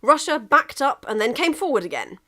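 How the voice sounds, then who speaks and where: A woman narrating a comic piece of fan fiction in an expressive, emphatic storytelling manner. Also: high-pitched, a little fast.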